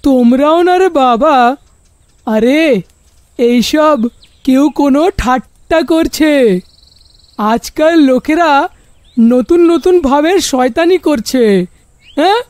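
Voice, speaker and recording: A man's voice: very high voice, takes it slowly, long pauses, darkish tone, no room sound. A man voicing a brash, bantering village man in a cartoon, drawling and exclamatory. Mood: mocking, boastful. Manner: drawling, exclamatory, repeats himself.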